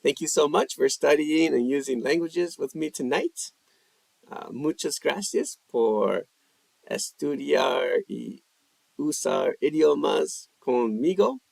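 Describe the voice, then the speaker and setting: A man's voice: on the high side, animated, slow, creaky, a little mellow, no room sound. A man hosting a live language-practice stream, reading viewer questions from the chat and answering them casually with lots of fillers. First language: English. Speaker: livestream language host.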